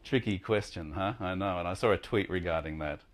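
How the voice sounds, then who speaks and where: A man's voice: sits low, brisk. A man acting as a conference track chair, giving an informal, chatty and slightly hesitant live introduction of a speaker.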